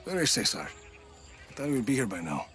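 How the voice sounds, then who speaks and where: Casting: a cocky game action hero, lively teasing delivery, light lilt, score underneath. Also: male voice, medium pitch, normal speed. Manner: dry teasing banter. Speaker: game protagonist. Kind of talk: video game cutscene acting. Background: game music.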